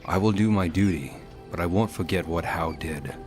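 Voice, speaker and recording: Male actor: brooding noble fighter, deep voice, clipped and controlled, game drama, clear score underneath. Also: normal speed, a little mellow, close to an everyday voice. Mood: grim resolve. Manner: terse, stern, controlled. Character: grim, vengeful noble young male warrior. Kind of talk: dramatic video game acting. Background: music.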